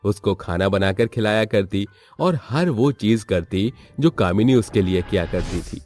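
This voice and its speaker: A man's voice: lowish voice, lively intonation, unhurried, a little mellow, soft background music. A man narrating a scripted story as the storyteller.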